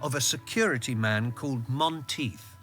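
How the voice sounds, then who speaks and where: An actor playing a guarded, reflective middle-aged government insider, measured and drily ironic, in a radio drama. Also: male voice, mid-range voice, fairly bright tone, soft background music, close to an everyday voice. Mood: reflective, tense. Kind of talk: radio drama narration and dialogue.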